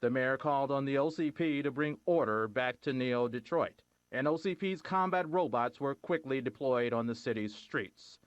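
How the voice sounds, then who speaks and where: A man voicing a TV news anchor in a game cutscene, reading headlines smoothly. The sound is dry and a little dull. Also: medium pitch, moderate pace, hardly any pauses, dry recording, close to an everyday voice. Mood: newsreading. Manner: smooth newsreader delivery.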